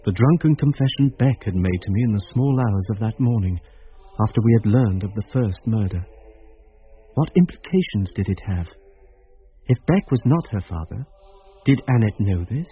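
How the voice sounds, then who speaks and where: A man's voice: lowish voice, animated, moderate pace, slightly rough, faint music behind. An actor playing a troubled, reflective man who narrates his story in a radio drama. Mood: brooding, uneasy. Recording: slightly muffled radio broadcast.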